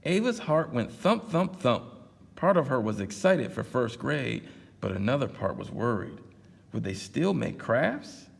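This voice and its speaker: A man's voice: mid-range voice, big pitch swings, unhurried, vocal fry. Guy reading a picture book at library storytime, chatty asides, echoey room. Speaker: storytime reader.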